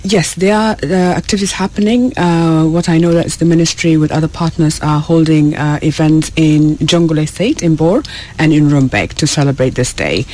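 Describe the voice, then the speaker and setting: A woman from South Sudan speaking as an interview guest, halting and often searching for words. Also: low voice, darkish tone, rough recording. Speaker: guest, education program worker. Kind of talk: radio interview.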